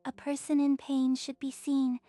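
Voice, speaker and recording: Gentle young woman hygienist, high and mellow, even delivery, played by a woman. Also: a little slow, dry recording, soft background music. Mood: earnest. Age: young adult. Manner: soft-spoken, kind.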